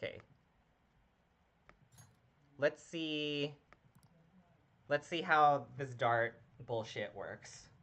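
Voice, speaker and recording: A man's voice: a little high, very slow, long pauses, fairly bright tone. A man casually commenting on a game he is playing live, chatting with viewers and greeting them by name, often laughing at himself. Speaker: streamer.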